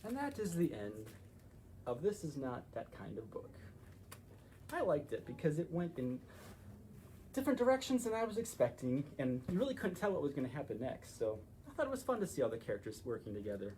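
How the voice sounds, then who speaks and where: A man presents picture books for children in an expressive way, adding casual asides. His pitch is very lively and varied, and there is faint noise behind him. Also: a little fast, rough recording. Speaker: library story time presenter. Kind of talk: animated picture-book reading with asides.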